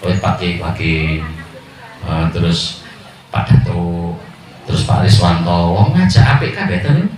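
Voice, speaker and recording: A man with a Javanese accent preaching a sermon at a village religious gathering, mixing jokes with loud calls and low asides. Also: measured pace, long pauses. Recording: echoey PA sound from far off.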